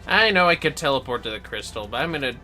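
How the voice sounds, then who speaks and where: A man's voice: mid-range voice, expressive, quick pace, sharp tone, faint music behind. A man giving casual, playful, sweary commentary on a gaming livestream, prone to exclamations.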